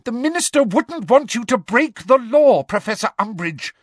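Man putting on a shrill, pleading teenage schoolgirl. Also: measured pace, bright, forceful tone, no echo, clean studio sound, exaggerated voice. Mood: frantic pleading. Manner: shrill, tearful, urgent.

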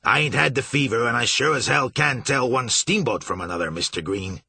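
A man voicing a gruff, blustering middle-aged riverboat captain who curses and barks out demands. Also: mid-range voice, a little fast, no echo, heavily acted. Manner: loud, cursing, demanding.

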